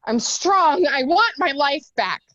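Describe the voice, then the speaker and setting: A woman's voice: high voice, expressive, slow pace, a bit bright, no room sound, muffled recording, heavily acted. A woman acting a wounded, defiant, sarcastic Marine officer in a screenplay table read. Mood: anguished determination. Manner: sarcastic, emotional.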